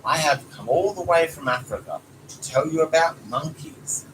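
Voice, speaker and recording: A man's voice: fairly high pitch, animated, moderate pace, fairly bright tone, recorded from a distance, voice pushed up. A man voicing an earnest, pleading monkey messenger from a children's storybook. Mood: urgent pleading. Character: Chee Chee, urgent pleading male monkey messenger. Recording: noisy home recording with background sounds.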